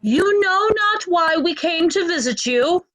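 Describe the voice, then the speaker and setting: A woman playing a stern, commanding duke in Shakespearean reader's theatre, measured and imperious. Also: very high-pitched, expressive, fairly bright tone, no room sound, full character voice. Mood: gracious, commanding. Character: Cornwall, commanding stern duke handing out orders.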